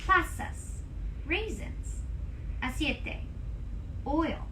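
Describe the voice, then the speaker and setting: Lady doing a kindly grandma from a picture book, teacherly single words, raised pitch, noisy distant mic. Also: animated, very slow, exaggerated voice. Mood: patient.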